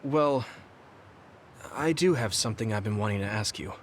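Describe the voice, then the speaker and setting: A man's voice: on the low side, animated, moderate pace, slight background noise, lightly acted. An actor playing an earnest, questioning young male soldier in a video game cutscene. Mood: puzzled, earnest. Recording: music behind the voice.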